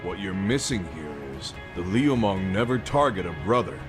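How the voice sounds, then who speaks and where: An actor playing a brash, hot-headed gang boss in a video game dub, with slangy, swaggering tough talk. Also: male voice, low voice, big pitch swings, moderate pace. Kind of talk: English-dub video game cutscene acting. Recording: music behind the voice.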